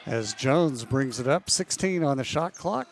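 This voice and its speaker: A man calling a women's college basketball game play-by-play, losing his voice and clearing his throat now and then. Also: mid-range voice. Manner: steady, measured calls that rise on big plays. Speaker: play-by-play announcer.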